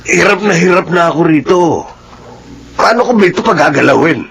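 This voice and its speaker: A man's voice: fairly high pitch, lively intonation, brisk, vocal fry, a crowd in the background. An actor playing a humble, reluctant fifty-year-old father in a Filipino drama, hesitant and grumbling. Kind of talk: Filipino audio drama acting.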